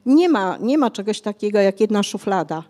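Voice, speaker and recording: Older female author, mellow and unhurried, fills pauses often while explaining. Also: medium pitch, lively intonation. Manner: hesitant, many fillers, explanatory. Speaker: author guest. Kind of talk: conversational interview. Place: bookshop cafe stage, indoors. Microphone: handheld mic.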